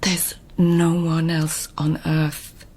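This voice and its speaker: Court lady, slow low breathy whisper, confiding secrets, radio play. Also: completely flat delivery, a little mellow, heavily acted. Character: noblewoman court writer in labour, wry and intimate. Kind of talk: radio drama acting.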